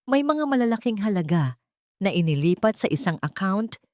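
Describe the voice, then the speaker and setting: A woman's voice: mid-range voice, very animated, normal speed, a little mellow, no echo, studio quality. A woman narrating a scripted dramatic story in a solemn, emotive storytelling manner.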